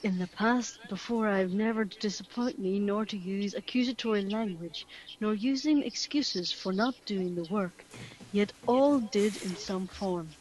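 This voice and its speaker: A woman's voice: medium pitch, unhurried, a little mellow, natural voice, poor audio. A woman voicing a bitter, accusing leader in a theatrical comic reading with a put-on accent. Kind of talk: mock-dramatic email reading.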